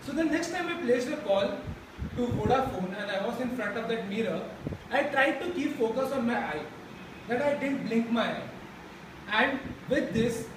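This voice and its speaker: A young man who stammers and restarts words, giving a personal speech to a support group in a classroom. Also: extremely high pitch. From India. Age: young adult. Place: classroom with a chalkboard. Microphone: far camera. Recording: echoey room from far off, background noise.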